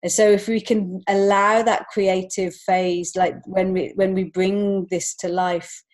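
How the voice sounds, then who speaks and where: A woman's voice: medium pitch, level tone, a little slow, a little mellow. A woman with an English accent talking reflectively and earnestly as a guest in a conversational interview. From England. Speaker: podcast guest, children's author and illustrator.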